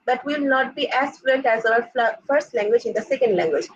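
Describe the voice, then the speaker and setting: A woman's voice: on the high side, lively intonation, brisk, husky. A young woman, a graduate student giving a seminar presentation and explaining concepts with many "like" and "uh" fillers. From Bangladesh. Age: young adult. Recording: dull lo-fi sound.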